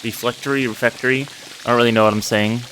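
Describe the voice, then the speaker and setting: A man's voice: medium pitch, steady tone, fast talker, a bit bright, a little hiss or hum, low-quality recording. A man giving casual, self-deprecating commentary as he plays a game and reads it aloud. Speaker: let's play host reading a game aloud. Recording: music under the voice. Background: game music.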